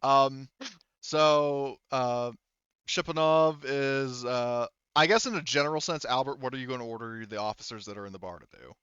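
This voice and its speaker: Smooth-voiced man as game master. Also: mid-range voice, normal speed, a bit bright, no room sound, dull audio. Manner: informal, swearing. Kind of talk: running a tabletop roleplaying game, casual narration and table talk.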